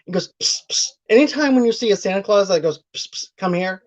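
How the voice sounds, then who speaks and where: Man host, slightly high voice, rambling casual film recap, muffled lo-fi audio. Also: animated, a little fast. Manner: rambling retelling with many restarts. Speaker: movie review podcast host. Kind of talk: casual chat.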